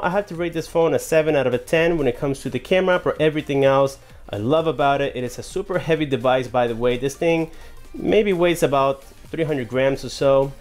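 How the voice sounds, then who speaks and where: A man's voice: a little high, a little mellow. A man giving a casual, chatty phone review to his viewers at a desk indoors. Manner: chatty, addresses viewers as guys. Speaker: tech reviewer. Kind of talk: casual product demo.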